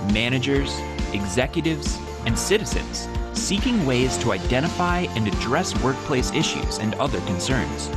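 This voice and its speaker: A man doing polished, scripted narration for an explainer video, played back during an online talk. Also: mid-range voice. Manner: polished, measured delivery. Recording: played over a video call with loud music.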